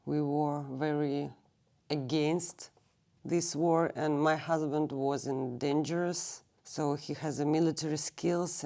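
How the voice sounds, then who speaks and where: Slow, low-voiced woman from Russia. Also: creaky, dark tone. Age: 30s. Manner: halting, searching for English words. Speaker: interviewee. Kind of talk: recorded oral history interview. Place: public library.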